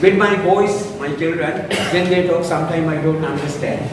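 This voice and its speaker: A man's voice: on the high side, moderate pace. A middle-aged Sri Lankan man gives a casual technical talk to engineers, tagging his points with "right?". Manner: informal, frequent "right?" tag questions. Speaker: guest lecturer, principal engineer. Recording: echoey hall, far from the mic, noisy.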